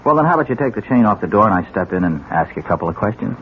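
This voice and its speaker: An actor playing a wily police detective sergeant in a 1970s radio drama, halting and casual as he feigns bumbling. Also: on the low side, very animated, phone-quality sound. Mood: slyly probing. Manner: halting, casual, wheedling, full of uhs. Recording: noisy, band-limited old radio recording.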